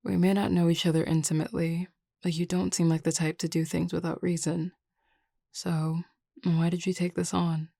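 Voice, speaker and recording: Woman acting a composed, low, wry werewolf leader. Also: little pitch movement, gravelly, mellow, dry recording, studio quality. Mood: probing, calm. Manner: measured, assured, dryly wry. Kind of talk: intimate audio roleplay acting.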